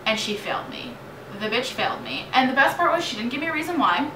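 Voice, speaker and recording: A young American woman in her late teens telling a story in a casual, animated, chatty vlog style. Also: sits high, quick pace. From New York State, United States. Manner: animated, chatty, dramatizes remembered exchanges. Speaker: vlogger. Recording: roomy echo, distant mic, clearly noisy.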